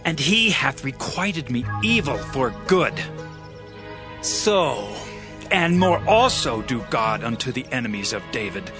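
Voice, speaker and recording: A man's voice: mid-range voice, very animated, a little slow. A man playing a commanding, aggrieved warrior leader with declamatory delivery in a dramatized Bible. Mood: angry, resolute. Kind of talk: dramatized audio Bible acting in archaic English. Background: music.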